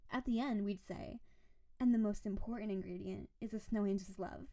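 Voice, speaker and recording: A woman's voice: medium pitch, steady tone, moderate pace, warm tone, lightly acted. A woman playing a doting, obsessive, possessive snow-woman spirit wife in an intimate romantic roleplay audio drama, gushing and cooing over the listener with dramatic emphasis. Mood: possessive, menacing, then gushing, excited.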